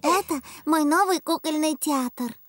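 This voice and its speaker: A woman's voice: high voice, unhurried. A woman voicing a friendly, confident older piglet girl in a children's cartoon. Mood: proud. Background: music.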